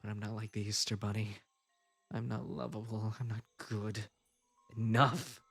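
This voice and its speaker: A man voicing an unhinged, possessive male bunny spirit in an audio roleplay, coaxing softly and breaking into giggles. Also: on the low side, flat delivery, normal speed, husky, faint music behind. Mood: wounded. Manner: soft coaxing delivery broken by giggles and sudden outbursts.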